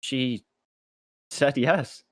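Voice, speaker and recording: A man's voice: mid-range voice, drawn-out words, a bit bright, no room sound. Guy acting as an awkward freshman with a crush, hesitant and self-doubting, really dry close recording. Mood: relieved, shy. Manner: hesitant, stammering when nervous. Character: nervous, self-deprecating young male college freshman with a crush. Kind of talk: table read of a pilot script.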